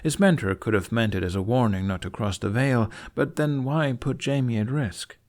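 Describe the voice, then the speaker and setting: A man's voice: medium pitch, normal speed, a little mellow. A man narrating a scripted fiction story expressively, as an audiobook reader. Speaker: narrator of a fan fiction podfic.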